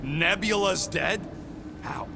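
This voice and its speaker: A man's voice: high voice, takes it slowly, gravelly, background noise, exaggerated voice. A man voicing a small, brash, foul-mouthed creature in a video game, combative, blunt and slangy. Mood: blunt, indignant. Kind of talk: animated video game acting. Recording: music behind the voice.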